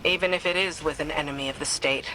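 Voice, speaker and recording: A stern female warrior in a video game, low and grave. She speaks in clipped, commanding phrases with a bitter edge, over clearly noisy ambience. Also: fairly even intonation, quick pace, sharp tone. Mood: grim, resentful. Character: stern, bitter woman warrior serving a queen. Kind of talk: video game dramatic dialogue.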